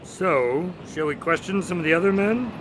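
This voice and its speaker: An actor playing an inquisitive, somewhat formal detective's sidekick in a radio drama. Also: male voice, high voice, lively intonation, moderate pace, background noise. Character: Dr. Watson's grandson, detective's eager questioning sidekick.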